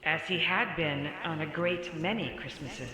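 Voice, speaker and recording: Slow, high, ageless spirit. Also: male voice. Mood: gentle sorrow. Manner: serene, measured, gently commanding. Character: ageless, calm childlike spirit of Christmas past. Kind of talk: theatrical radio drama acting. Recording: echoey reverb, crowd sounds behind.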